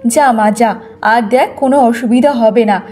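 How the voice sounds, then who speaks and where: Woman playing concerned older mother, high voice. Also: a little slow, darkish tone, close to an everyday voice. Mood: coaxing. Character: concerned village mother, older, conciliatory, advising. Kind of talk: Bengali moral-story cartoon dubbing.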